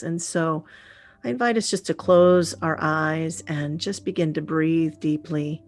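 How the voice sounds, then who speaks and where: Woman leading meditation over gentle music, lowish voice. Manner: calm, soothing, unhurried with frequent pauses. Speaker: meditation leader. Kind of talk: guided meditation. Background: gentle instrumental music.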